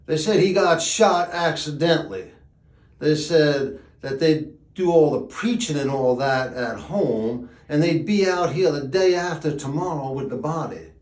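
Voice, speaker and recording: An actor playing a wry, plainspoken rural gravedigger, telling a yarn in a drawling folksy dialect with dramatic pauses. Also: male voice, a little high, animated, a little mellow. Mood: matter-of-fact. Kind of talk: theatrical storytelling monologue. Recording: echoey room.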